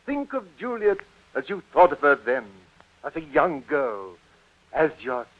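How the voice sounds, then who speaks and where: Doting old-school actor father, high swooping voice, florid, excitable, tinny vintage radio drama. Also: unhurried. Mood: tender, remorseful. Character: doting, excitable, theatrical middle-aged father, veteran stage actor. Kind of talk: 1940s radio drama acting. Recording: tinny, noisy 1940 radio recording.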